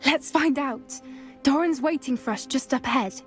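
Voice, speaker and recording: A gentle schoolgirl at a wizard school, acted by a woman. Her voice sits fairly high and sounds earnest and soft-hearted, with a slight hesitancy, in naturalistic video game dialogue. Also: moderate pace, faint music behind, close to an everyday voice. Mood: eager, awed.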